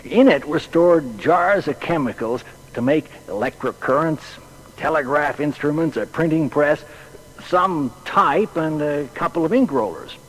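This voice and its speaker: A man's voice: a little high, lively intonation, a little slow, low-quality recording. An actor playing a folksy, plain-spoken man who hesitantly recalls his boyhood friend in a dramatised biography. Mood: matter-of-fact recollection. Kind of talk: dramatised witness reminiscence.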